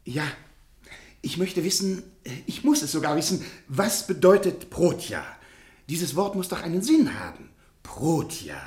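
A man's voice: fairly high pitch, very animated, unhurried, a little mellow. An actor playing a hesitant, modest male university lecturer in a radio drama, stammering politely and easily dazzled. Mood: troubled, insistent. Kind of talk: theatrical German radio drama acting. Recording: echoey studio radio play.

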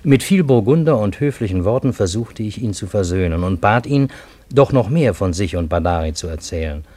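Mellow, husky, urbane Parisian narrator. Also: male voice, lowish voice, animated, moderate pace, no room sound. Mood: wry, narrating. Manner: urbane, wry, literary storytelling. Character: genial, bewildered Parisian man narrating a fantastic encounter. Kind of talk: German radio play narration and dialogue.

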